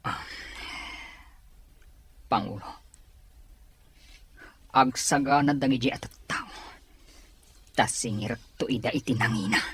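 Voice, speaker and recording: Male actor, loyal rebel recruiter, Ilocano accent, husky, medium-pitched, audio drama dialogue. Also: takes it slowly, pauses often, fairly bright tone, full character voice. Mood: deferential.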